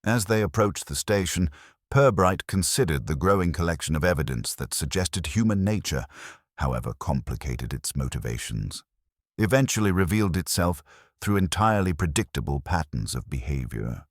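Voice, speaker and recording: A man narrating a scripted mystery story in a measured, formal, literary manner. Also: low-pitched, animated, no echo, clean studio sound. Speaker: audiobook narrator.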